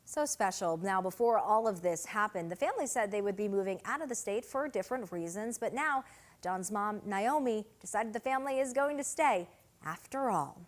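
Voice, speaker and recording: A woman presenting upbeat news stories in a clear, scripted anchor delivery. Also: mid-range voice, animated, normal speed.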